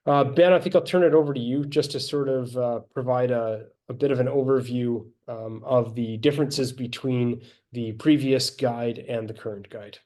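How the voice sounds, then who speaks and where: A man's voice: medium pitch, steady tone, normal speed, few pauses, a little mellow. A man presenting a textbook on a video call webinar, talking hesitantly with many fillers and repeated words. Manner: hesitant, heavy use of fillers. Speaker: textbook co-author.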